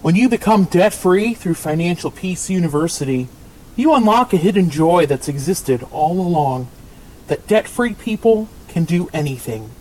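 A woman's voice: fairly low pitch, animated, moderate pace, darkish tone, a little hiss or hum, close to an everyday voice, rough recording. A woman playing an earnest announcer in a radio comedy parody of a promotional ad.

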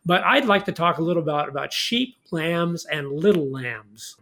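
Higher-voiced man teaching, folksy and informal. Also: animated, normal speed, creaky, fairly bright tone. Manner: folksy, informal, asks leading questions. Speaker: host of a Bible study discussion. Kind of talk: conversational Bible teaching.

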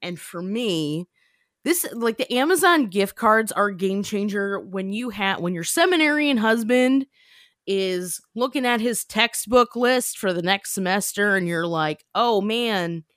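A woman's voice: mid-range voice, expressive, a little slow, fairly bright tone, no room sound, clean studio sound. A young woman hosting a podcast, explaining things in a casual, slangy, playful way. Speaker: podcast host.

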